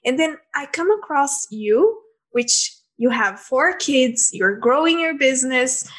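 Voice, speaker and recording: A woman's voice: sits high, expressive, slow pace, fairly bright tone. A woman hosting a podcast, introducing her guest and asking questions in an enthusiastic way. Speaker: podcast host. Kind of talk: podcast interview.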